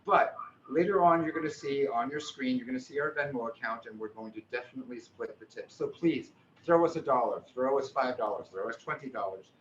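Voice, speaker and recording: Host intro: older man, halting and chatty; roomy, dull video-call sound. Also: medium pitch, fairly bright tone. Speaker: director and host. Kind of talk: casual introduction. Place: seated on a small cabaret stage. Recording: roomy, muffled, slight noise.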